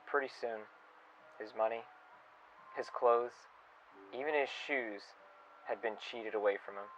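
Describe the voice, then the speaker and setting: A man playing a reflective, wistful staff member recording an audio log, speaking in a halting, pensive and sincere way. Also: medium pitch, a bit bright, a little hiss or hum. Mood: gentle, solemn storytelling. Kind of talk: audio log monologue with storytelling. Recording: dull lo-fi recording with music. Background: music under the voice.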